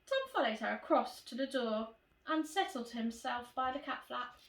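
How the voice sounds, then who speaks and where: In an echoey room, a woman reads a storybook to young children. Her fairly high voice moves with lots of expression and variety, like a primary teacher addressing her pupils. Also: expressive, moderate pace, gravelly. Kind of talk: animated children's storybook reading. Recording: roomy echo, distant microphone.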